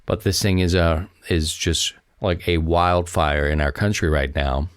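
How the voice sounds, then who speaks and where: A man with a low, deep voice talks on his own as a podcast host. His delivery is casual and conversational, rambling along as he speaks straight to his listeners. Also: steady tone, measured pace, clear, resonant tone, dry recording, studio quality. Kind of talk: casual solo podcast talk.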